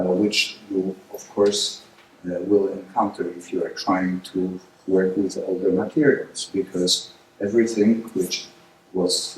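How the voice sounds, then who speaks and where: Man, Polish accent, middle-aged, hesitant lecturer, lots of pauses, echoey hall, distant mic, faint hiss. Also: low-pitched. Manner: hesitant, many filler pauses, lively emphasis on key points. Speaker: guest speaker. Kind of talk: lecture presentation. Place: lecture room.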